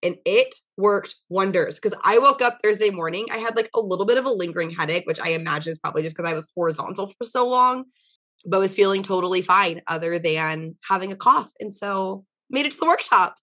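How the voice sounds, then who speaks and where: A young woman with a hoarse, nearly gone voice, chatting casually as a podcast co-host and telling a story with lots of fillers. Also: medium pitch, animated, a little fast, a bit bright. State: hoarse, voice mostly gone from illness. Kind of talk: casual chat.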